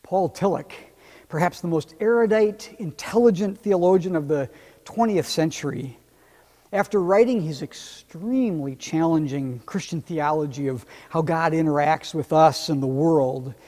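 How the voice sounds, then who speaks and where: A middle-aged male pastor preaches in a warm, slightly husky voice, his pitch rising and falling in a lively way. He speaks unhurriedly and expressively. His lapel mic picks up the long reverb of the church around him. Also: normal speed. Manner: expressive, gesturing with both hands. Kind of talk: sermon. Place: church sanctuary, indoors.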